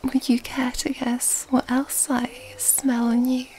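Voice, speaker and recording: A woman acting as an elegant, predatory vampire lady in an ASMR roleplay. Her breathy, whispered voice sits high but stays soft and dark, unfolding very slowly with teasing, mysterious formality. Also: flat delivery, poor audio. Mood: teasing, menacing. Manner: slow, teasing, formal old-fashioned wording.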